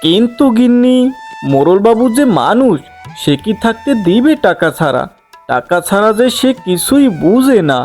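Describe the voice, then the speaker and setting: A male actor plays a poor village father in a cartoon fairy tale. His voice is high and swings expressively as he pleads and frets. Music plays underneath. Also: big pitch swings, normal speed, a little mellow. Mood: reluctant. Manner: pleading, plaintive. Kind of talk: cartoon folk tale dialogue. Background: background music.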